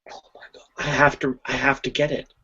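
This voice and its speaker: A woman's voice: very deep voice, little pitch movement, brisk, bright, forceful tone, slightly rough, full character voice. A woman playing a frantic posh noblewoman. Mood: panicked. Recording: dull lo-fi home mic.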